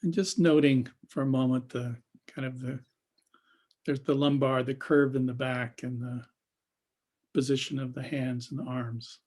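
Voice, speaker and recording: A man with a husky voice leading an unscripted guided meditation as a teacher, speaking haltingly with long pauses and trailing phrases. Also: mid-range voice, steady tone, darkish tone.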